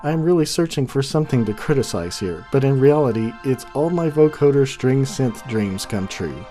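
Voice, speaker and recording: A man narrating a product review and demonstration in a measured, enthusiastic way. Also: medium pitch, expressive, dark tone. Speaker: reviewer, host of a synthesizer channel. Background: synthesizer music.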